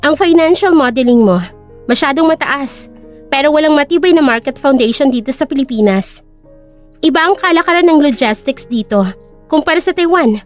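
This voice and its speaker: A woman's voice: sits high, animated, moderate pace, soft background music. A woman voicing a cool, firm young company owner in an audio drama.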